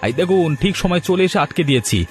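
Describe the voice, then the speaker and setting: An actor voicing an excitable, humble village helper who talks hesitantly in a cartoon story. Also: male voice, on the high side, fairly quick, natural voice. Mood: suspicious, excited. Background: background music.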